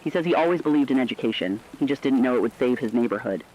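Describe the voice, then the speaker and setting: A man's voice: medium pitch, lively intonation, rapid-fire, muffled recording, natural voice. A man playing an earnest radio correspondent, telling human stories in solemn, scripted narrative reporting. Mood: solemn, moved.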